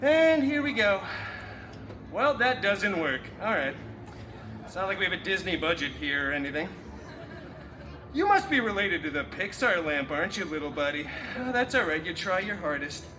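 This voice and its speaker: A man's voice: sits high, animated, noisy background. A man playing a campy, vain, wisecracking masked antihero who tells a story live, with theatrical delivery and sarcastic asides. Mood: wry, sarcastic. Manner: hammy, sarcastic, swooping between dramatic delivery and quick asides. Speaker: theme park character performer telling a story to children. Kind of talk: theatrical live comic storytelling with crowd banter. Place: live theme park stage show. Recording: echoey live show, loud music behind. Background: loud music.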